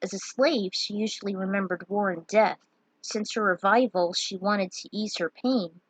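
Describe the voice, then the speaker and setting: A woman's voice: medium pitch, moderate pace, husky, no room sound, low-quality recording. A woman narrating her own fan fiction, with some casual asides in her own words. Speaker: fan fiction writer and reader.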